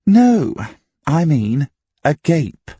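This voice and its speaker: A man voicing a flamboyant, opinionated pub regular in an audiobook, exclaiming with affected, drawn-out emphasis. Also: fairly high pitch, huge pitch swings, warm tone, husky, no room sound, voice pushed up, exaggerated voice. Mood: pleased, insistent. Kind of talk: audiobook character acting.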